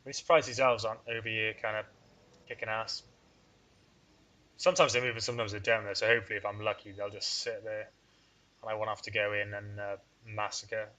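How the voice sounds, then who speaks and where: A man's voice: lowish voice, little pitch movement, fairly quick, pushed and bright, poor audio. A man hosting a strategy game let's play, rambling and thinking aloud in a casual, self-deprecating way. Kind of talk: casual gameplay commentary.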